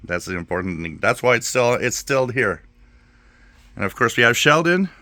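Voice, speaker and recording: A man's voice: mid-range voice, sing-song, normal speed, bright, forceful tone, no room sound. A man hosting a casual video tour, talking off the cuff inside a shop. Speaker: channel host filming a shop tour. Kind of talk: casual vlog narration. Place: inside a small electronics repair shop.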